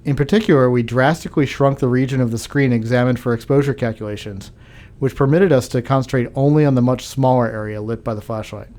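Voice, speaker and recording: A man's voice: mid-range voice, fast, darkish tone. A man giving scripted technical commentary as a game developer.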